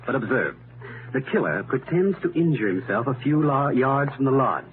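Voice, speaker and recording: An American actor playing a confident, brilliant amateur detective in 1930s radio mystery style, explaining things in a deliberate, methodical, emphatic way. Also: male voice, medium pitch, big pitch swings, natural voice. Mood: building to reveal. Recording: noisy, narrow-band 1930s radio recording.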